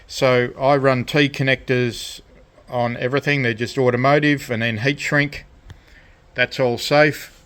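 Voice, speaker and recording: A man with a mid-pitched voice presents as a hobbyist at his bench. He speaks very slowly and haltingly, rambling through an unscripted hands-on demonstration. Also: fairly even intonation, a bit bright. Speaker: DIY electronics hobbyist presenting his project. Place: indoor radio shack workbench.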